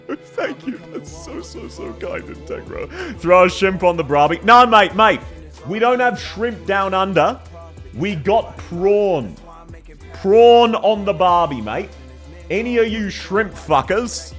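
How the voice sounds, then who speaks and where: An Australian man chatting on a live stream, his voice pitched a little high and bouncing all over as he giggles through thank-yous. He talks close to a desk mic with faint music playing behind him. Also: very animated, slow. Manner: giggly, thanks viewers warmly. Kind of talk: casual livestream chat.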